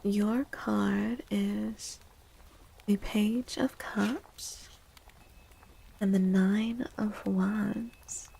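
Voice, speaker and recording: A woman streaming as a tarot host, speaking gently in a breathy ASMR style that often drops to a whisper, close to the microphone. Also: mid-range voice, fairly even intonation. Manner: gentle, slow, drawn-out phrasing. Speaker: livestream host doing tarot readings. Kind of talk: soft-spoken ASMR, chatting to viewers.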